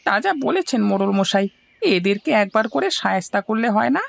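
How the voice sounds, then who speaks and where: Woman as male cartoon henchman, obsequious, very lively intonation, gravelly creak. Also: mid-range voice, brisk, slight background noise. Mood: agreeable. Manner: obsequious, eager. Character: deferential male village sidekick to the headman. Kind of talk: scripted cartoon dialogue.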